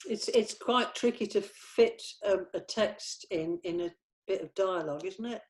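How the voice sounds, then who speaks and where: A woman's voice: mid-range voice, a little slow. A woman in a casual script discussion at a table read, questioning points and often ending on tag questions.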